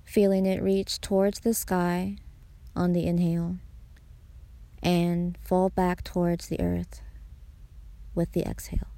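A woman guiding a meditation in a soothing way, pausing between short phrases. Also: lowish voice, completely flat delivery, mellow, no echo. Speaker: meditation guide, podcast host. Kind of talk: guided meditation narration.

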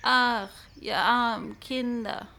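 A woman's voice: on the high side, steady tone, takes it slowly, gravelly, bright, forceful tone, slight background noise, full character voice. A kind-hearted ogre's wife, played by a woman, speaking with open pity. It is a tender character part in a fairy-tale audio drama. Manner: pitying. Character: kind, pitying wife of an ogre.

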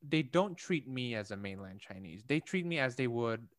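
A man's voice: mid-range voice, animated, moderate pace, dry recording. A young man from Indonesia chatting casually, thinking aloud with many fillers, restarts and light laughs. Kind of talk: casual conversation.

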